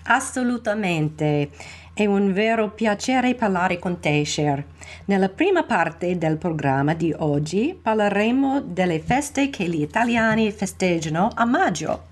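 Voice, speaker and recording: A woman's voice: mid-range voice, very animated, normal speed. A woman hosting a podcast in a scripted, upbeat way, mixing Italian phrases into her English. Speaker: podcast host.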